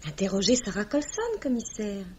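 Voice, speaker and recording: An actress playing a self-assured, spirited young fiancée in a 1950s-style French radio mystery. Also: female voice, mid-range voice, sing-song, normal speed, a little mellow, natural voice. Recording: dull, noisy vintage radio recording.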